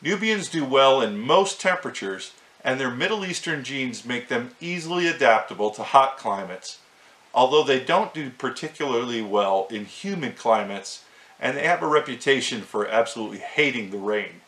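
A middle-aged man hosting a short farm-animal video, reading a script to camera in a clear, measured way. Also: mid-range voice, animated, a bit bright, roomy sound. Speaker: host.

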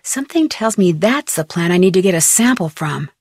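A woman's voice: fairly low pitch, animated, brisk, a little mellow, breathy edge, no echo, studio quality. A woman voicing a plucky, curious teenage girl detective in a video game, wryly thinking aloud to herself. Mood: intrigued. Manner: wry, curious, self-talking. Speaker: voice actor of a video game heroine.

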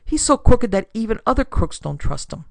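A narrator voicing a distressed, earnest young woman with a halting, pleading manner in an audiobook story. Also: medium pitch, very animated, vocal fry, no room sound, clean studio sound, pitched above the performer's own voice.

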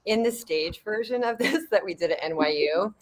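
A woman's voice: mid-range voice, lively intonation, brisk. A woman speaking casually as a theatre writer on a panel, over a video call from a room at home.

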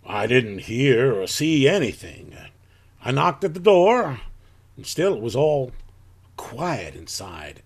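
A man playing a plain-spoken rural farmer in a live audio drama, telling his story in a folksy, halting way. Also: medium pitch, sing-song, breathy edge, heavily acted. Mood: uneasy recounting.

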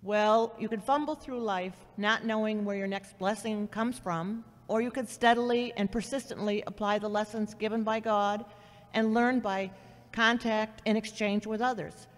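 A woman's voice: mid-range voice, fairly even intonation, normal speed, close to an everyday voice. A woman playing a confident, dry-witted older sister in an audio drama. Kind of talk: amateur audio drama acting. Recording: long hollow reverb.

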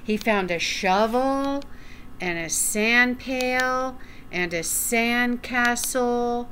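Older female storyteller for a picture book, slightly high-pitched, very unhurried, home webcam sound with some background noise. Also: fairly bright tone. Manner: deliberate, enunciated, asking the child questions. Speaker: storyteller reading a children's picture book aloud. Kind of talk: read-aloud for young children with asides. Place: small room at home, indoors.

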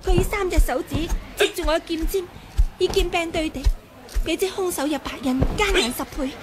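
A woman's voice: extremely high pitch, fairly quick, over music. An actress playing a bold, crafty young swordswoman in a Hong Kong Cantonese radio drama, with polite, artful manners. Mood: startled. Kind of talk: 1980s radio drama acting. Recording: 1980 radio drama, busy sound-effects background.

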